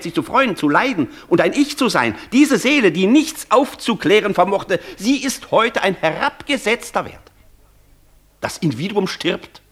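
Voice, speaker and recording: A husky, impassioned, lecturing professor. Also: male voice, on the high side, fairly bright tone, full character voice. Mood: fervent, grave. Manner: declamatory, insistent, lecturing. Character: intense, persuasive male professor tempting the Devil. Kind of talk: German radio play acting, long rhetorical speeches.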